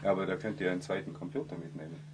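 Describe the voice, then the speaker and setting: Very deep-voiced male interviewer. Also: fairly even intonation, very fast, noisy background. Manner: brief questions and short acknowledgments. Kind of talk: interview questions.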